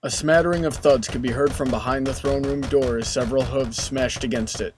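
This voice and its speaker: A man's voice: mid-range voice, fairly even intonation, brisk. A man narrating a scripted comedic story as the narrator between characters' lines.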